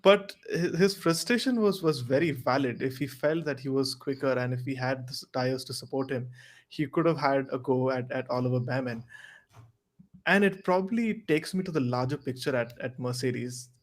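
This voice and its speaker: A man's voice: medium pitch, normal speed. A man giving casual motorsport analysis as a podcast panelist, earnest and repeating phrases as he reasons.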